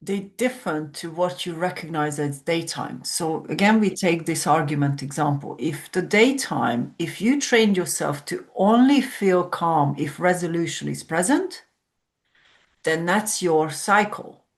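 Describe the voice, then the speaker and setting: A woman's voice: on the low side, animated, unhurried. A woman from Hungary, a sleep coach explaining ideas reflectively as a podcast guest. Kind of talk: podcast conversation.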